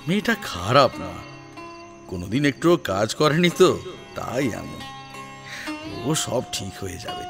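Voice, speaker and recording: A man playing a gentle, expressive older father-in-law. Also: medium pitch, a little slow, vocal fry, pauses often. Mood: musing, forgiving. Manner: soft, fatherly, reassuring. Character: gentle, kindly older father-in-law guiding his daughter-in-law. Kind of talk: Bengali audio story acting. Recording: music behind the voice.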